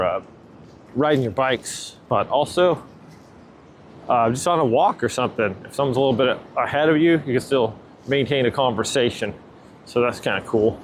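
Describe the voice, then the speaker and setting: Casual man reviewing gear outdoors, background noise. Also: medium pitch. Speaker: product review host. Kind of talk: casual chat, unscripted product review. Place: outdoors near water, walking.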